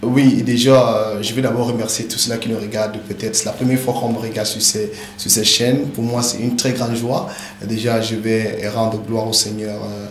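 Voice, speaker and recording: A man who is a pastor and a native English speaker, earnestly explaining things in an interview with many hesitant fillers. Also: fairly low pitch. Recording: echoey room with background noise.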